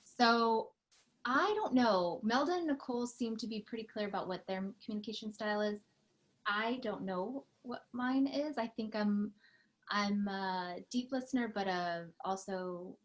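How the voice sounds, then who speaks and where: A woman in her thirties, a mother, talking earnestly and chattily about herself on a podcast. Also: medium pitch. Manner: earnest and chatty, warm-spoken with fillers. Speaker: podcast co-host. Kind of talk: casual chat.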